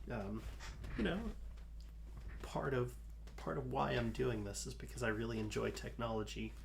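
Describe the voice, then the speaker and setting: A man hosting a live coding stream, talking calmly through his code with a soothing voice and many fillers. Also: fairly low pitch, gravelly, slight background noise. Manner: slow, calm, talks through code with fillers. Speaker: livestream host.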